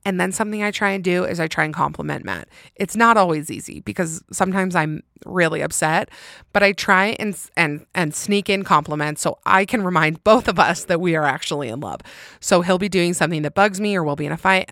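Low-pitched woman podcaster chatting candidly, close and dry. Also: no room sound. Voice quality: loud, intense voice. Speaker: podcast host. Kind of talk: casual solo chat answering listener questions.

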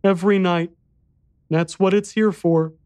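A man performs a small boy from a dark storybook, pitched well up high. The child sounds grave and frightened, speaking with earnest seriousness. The sound is close and dry. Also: fairly even intonation, a little slow, clean tone, no echo. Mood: solemn, frightened. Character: solemn, frightened little boy in a haunted house. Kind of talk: audiobook character dialogue.

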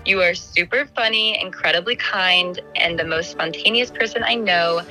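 A woman with a slightly raspy voice, calling in to a dating segment, talking in a friendly way and laughing often. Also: lowish voice, moderate pace, pushed and bright, faint music behind, poor audio.

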